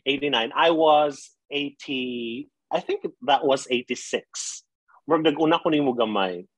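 A man in his thirties with a Filipino accent co-hosts a podcast from a remote video call. His voice is a little husky and lively in pitch, and he teases and laughs easily. The sound is dry, with no room around it. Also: measured pace, no echo, rough recording. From Philippines. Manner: playful, laughs often, teasing. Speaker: podcast co-host. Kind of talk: casual chat.

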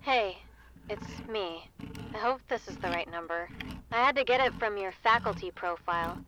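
A woman's voice: mid-range voice, normal speed, bright, forceful tone, slight background noise, lightly acted, rough recording. A woman playing a breezy, businesslike researcher in an audio drama, chatting casually as if leaving a phone message. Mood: casual, friendly.

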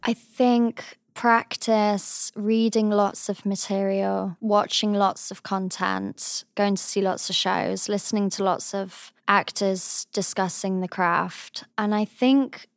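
A young woman from England with a high voice, giving thoughtful, earnest interview answers as a drama student. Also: fairly even intonation, slow pace, hardly any pauses, no echo, clean studio sound. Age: young adult. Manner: thoughtful, earnest, softly trailing phrases.

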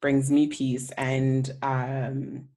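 A woman with a notably low voice hosts a podcast interview. She speaks in a laid-back, slangy, conversational way. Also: monotone, very slow, warm tone. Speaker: podcast host.

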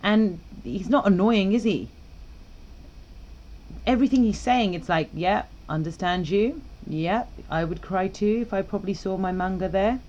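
A woman's voice: mid-range voice, normal speed, a little mellow, dull audio. Chatty, teasing woman podcast co-host. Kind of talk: casual chat.

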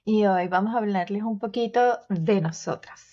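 A Venezuelan woman in her forties co-hosting a podcast, chatting casually and warmly with fillers and restarts. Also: medium pitch, normal speed, muffled recording. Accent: Venezuelan Spanish. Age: 40s. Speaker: podcast co-host. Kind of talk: casual chat.

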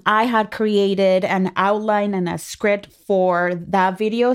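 A woman's voice: mid-range voice, clear, resonant tone. A woman with a Spanish-speaking accent, a native Spanish speaker, co-hosting a casual podcast in a playful, earnest way. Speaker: podcast co-host, marketing expert.

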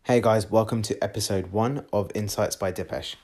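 A man's voice: fairly low pitch, background noise. A man hosting a podcast interview in an upbeat, chatty way, recorded inside a luxury house. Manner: upbeat, chatty, quick short replies. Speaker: podcast host.

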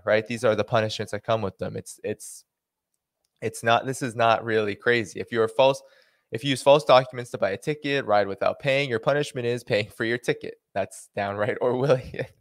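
A man's voice: fairly low pitch, steady tone, moderate pace, no echo. A man with a Boston accent hosting a livestream, reading a policy document aloud and breaking off into dry sarcastic asides. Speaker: host.

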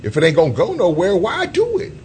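A man's voice: huge pitch swings, fairly quick, darkish tone, slight background noise. Laid-back man cursing on a podcast. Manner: loose and profane, with many "you know" fillers and sudden pitch jumps. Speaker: co-host. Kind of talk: casual podcast discussion.

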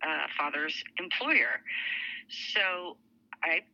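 Woman in her forties, podcast guest, very bright voice, chatty storytelling, remote call audio. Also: fairly low pitch, expressive, extremely slow, creaky, muffled recording. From Lebanon and Saudi Arabia. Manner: chatty, with fillers and light laughs. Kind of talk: casual interview storytelling.

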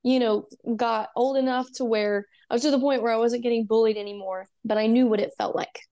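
A young woman from Texas speaks as a musician guest, earnest and upbeat. She fills her sentences with "um" and "you know", and her voice sits fairly high. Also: fairly even intonation, a little fast, dark tone. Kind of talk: casual interview.

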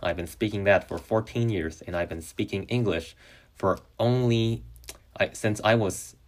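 A young man whose first language is Chinese, hosting a solo podcast and talking in a halting way with many restarts. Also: lowish voice. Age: young adult. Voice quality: halting, with frequent restarts and fillers. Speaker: podcast host.

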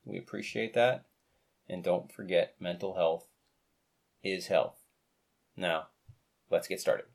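Earnest American podcast host, low voice. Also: male voice, vocal fry, dry recording. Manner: earnest, measured, speaks slowly when reading out numbers. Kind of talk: podcast interview chat. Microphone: video call.

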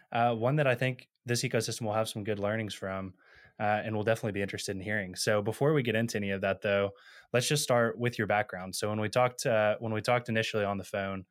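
A man's voice: lowish voice, no echo, clean studio sound. A man hosting an interview, asking questions in a friendly, chatty way. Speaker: podcast host. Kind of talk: conversational interview.

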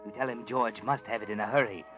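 A man's voice: on the low side, fairly even intonation, quick pace, lightly acted. An actor playing a sinister, all-knowing male narrator in a 1940s radio drama, speaking in an insinuating, knowing tone over music, on a tinny old recording.

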